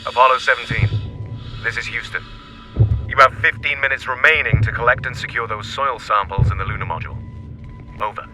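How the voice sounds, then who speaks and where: A man's voice: medium pitch, fairly quick, long pauses, music in the background. A man playing a stern, official mission control operator in an audio drama, speaking through a radio filter. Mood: businesslike. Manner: official, commanding. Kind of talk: clipped radio-protocol acting in audio drama.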